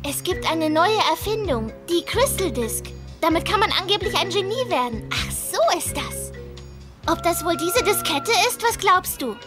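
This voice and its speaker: A woman's voice: extremely high pitch, very animated, normal speed, over music. A woman playing a bubbly, scatterbrained teenage schoolgirl in an anime audio drama. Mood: puzzled.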